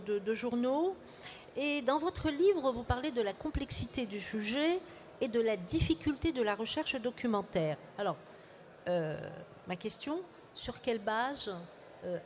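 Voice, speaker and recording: A woman's voice: on the high side, a little hiss or hum. A woman interviewer asking long, winding questions with many fillers at a book fair. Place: book fair at a city hall.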